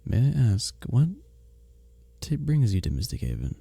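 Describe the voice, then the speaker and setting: A man playing a kind, courteous innkeeper in a medieval fantasy village, speaking softly and formally in an intimate ASMR roleplay drama. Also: medium pitch, huge pitch swings, moderate pace, creaky, husky, no room sound, exaggerated voice. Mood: curious. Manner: gentle, formal storybook phrasing, hesitant and tender.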